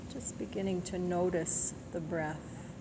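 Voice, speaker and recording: Very slow, gentle woman guiding meditation. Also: lowish voice, fairly even intonation, vocal fry, background noise. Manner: gentle, soothing, with long pauses. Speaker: meditation guide. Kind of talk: guided body scan meditation.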